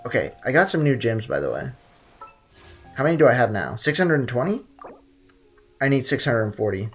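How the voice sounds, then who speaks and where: A man's voice: medium pitch, very animated, fairly quick, fairly bright tone, husky, soft background music. Blunt, teasing male game streamer. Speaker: livestream host. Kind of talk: casual game streaming commentary, reading chat.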